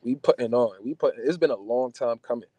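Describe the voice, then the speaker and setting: A man's voice: medium pitch, fairly quick, background noise. Young jersey guy chatting loose and slangy on a video call from his car, trails off with lots of "um" and "like". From New Jersey. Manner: relaxed slangy talk, trailing off into fillers. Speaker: guest. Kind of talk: casual chat. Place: car interior. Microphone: phone camera at arm's length on video call.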